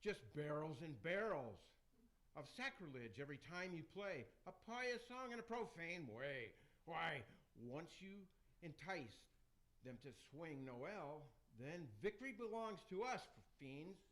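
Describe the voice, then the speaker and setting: A man's voice: on the high side, sing-song, measured pace, fairly bright tone. A man playing a pompous, fussy dead emperor who scheme-talks in rhyme, in an amateur radio play. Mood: pompous, scheming. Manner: pompous, declamatory. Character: pompous dead emperor Nero, fussy musical connoisseur among devils.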